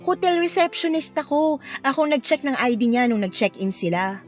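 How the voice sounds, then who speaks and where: A woman playing a smitten, bashful young hotel receptionist in chatty, animated radio drama banter. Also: high-pitched, normal speed. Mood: bashful, resigned. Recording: music under the voice.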